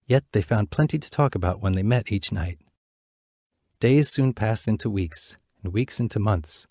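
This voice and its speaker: A man narrating a fairy tale in a measured, clear reading voice. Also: on the low side, dark tone, dry recording, clean studio sound. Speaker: storyteller.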